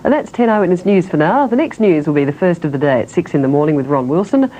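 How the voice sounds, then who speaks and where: A woman with an Australian accent, reading the late news as anchor in a measured, formal way. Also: fairly low pitch, lively intonation, warm tone, low-quality recording. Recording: faint noise, early-1990s TV broadcast.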